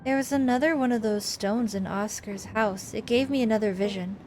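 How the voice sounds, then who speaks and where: A woman's voice: on the high side, brisk, darkish tone, faint music behind, close to an everyday voice. Woman playing an insistent, earnest young heroine. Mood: earnest, questioning.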